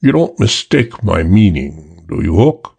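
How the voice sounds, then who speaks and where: A cold, unflappable stout man with a British accent, as a narrator plays him, drawling slowly and evenly. The voice is low and gravelly, and every calm word carries a quiet threat in a pulp crime story. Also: full character voice. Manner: slow, emotionless drawl.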